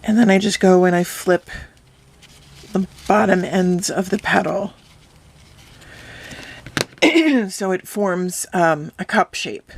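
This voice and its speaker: Slow, slightly hoarse woman teaching a craft. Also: medium pitch. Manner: slow, calm, instructive. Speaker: craft tutorial host. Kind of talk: step-by-step craft tutorial voice-over.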